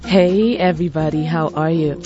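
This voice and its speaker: A woman hosting a radio talk show in a husky voice, chatty and playful, talking straight to her listeners. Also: low voice, steady tone, moderate pace, lo-fi sound, over music. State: husky voice from a seasonal cold. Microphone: studio microphone.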